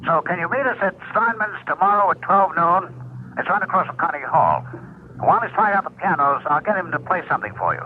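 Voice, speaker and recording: An actor playing an eager, insistent agent making promises through a telephone filter in a vintage radio drama. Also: male voice, high-pitched, sing-song, fast. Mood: eager, persuasive. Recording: tinny phone line, noisy old broadcast.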